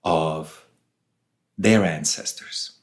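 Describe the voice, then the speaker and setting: Deep-voiced, slow-speaking man from Switzerland. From Switzerland, southern part. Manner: deliberate, with short phrases and long pauses. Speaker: author and ayahuasca facilitator, interview guest. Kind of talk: reflective spoken interview answers.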